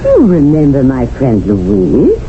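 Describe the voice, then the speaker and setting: A tactful English widow coaxes her brother in polite, lilting tones. Her pitch rises and falls with lively variety, and the sound is thin and tinny, with faint hiss. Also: slow, vocal fry, exaggerated voice. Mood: coaxing. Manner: polite, coaxing, diplomatic. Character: genteel widowed sister, gently matchmaking. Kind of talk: 1970s radio drama acting. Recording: tinny old radio broadcast with faint hiss.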